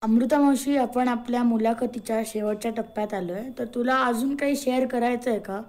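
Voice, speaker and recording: Mellow, higher-voiced woman interviewer. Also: a little fast. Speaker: podcast co-host, interviewer.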